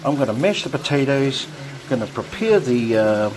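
A man's voice: medium pitch, normal speed. Easygoing man narrating home cooking. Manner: relaxed, talks while cooking, frequent ums. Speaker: home cook vlogger. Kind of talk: casual cooking commentary. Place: home kitchen. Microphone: phone camera held over the stove. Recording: noisy home kitchen phone recording. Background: sizzling and bubbling pots.

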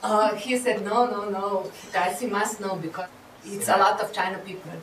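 Woman, interpreter, short translated phrases, average pitch, roomy echo, faint background noise, distant. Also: steady tone, moderate pace. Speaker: interpreter in the audience.

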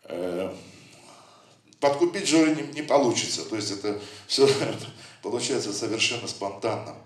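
A man's voice: lowish voice, animated, normal speed. Man, poetry reading, rhythmic recitation, distant mic, echoey hall. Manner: recites verse with a rhythmic cadence, chats loosely between poems. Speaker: poet presenting his book. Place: book presentation hall.

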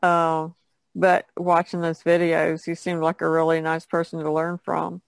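A woman's voice: deep voice, completely flat delivery, measured pace, vocal fry, no echo. A woman talking casually as a guest on a podcast. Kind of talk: casual conversation.

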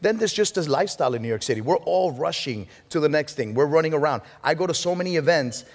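A man in his forties from Puerto Rico, speaking on a discussion panel and telling stories in an animated way. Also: a little high. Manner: rapid, animated storytelling. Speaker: panelist, state legislator. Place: large hall stage. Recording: reverberant hall.

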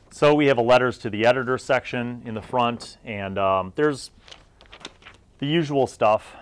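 A middle-aged man presents a retrospective review straight to viewers. His voice is mid-pitched and recorded close and dry. He talks conversationally, leaning on key phrases and letting asides trail off. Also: clear, resonant tone, dry recording. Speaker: video series host.

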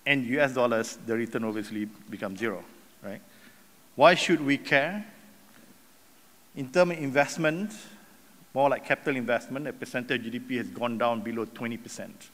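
A middle-aged man at a podium in a big conference hall, his mid-pitched voice carrying a long reverb. He speaks casually, tossing in rhetorical questions and a habitual "right?". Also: moderate pace, fairly bright tone. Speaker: session host introducing the speaker. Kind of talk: speech from the podium. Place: large conference hall stage. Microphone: lectern microphone.

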